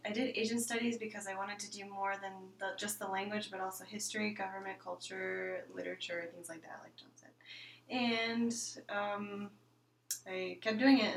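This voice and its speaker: A woman's voice: mid-range voice, a bit bright, slight background noise. Guest: woman from Texas, 20s, giggly and chatty, roomy echo with faint ambience. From Texas, USA. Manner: giggly, chatty, many fillers. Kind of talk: casual interview chat. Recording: echoey room, faint ambience.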